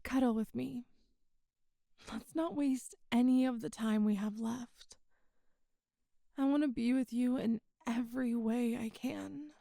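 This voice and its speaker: A woman acts as an immortal demon goddess in an ASMR roleplay, her high voice mellow and close, with almost no room around it. She speaks tenderly and wistfully, halting softly between phrases like a lover confiding. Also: steady tone, gravelly, dry recording. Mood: tender, bittersweet. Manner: slow, halting, intimate, wistful. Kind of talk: intimate ASMR audio roleplay.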